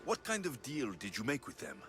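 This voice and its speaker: A man playing a tough, level-headed mercenary in a video game, with a Greek accent and a measured, plain-spoken delivery. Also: mid-range voice, huge pitch swings, a bit bright, soft background music. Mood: stern, probing. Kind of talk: video game cutscene acting.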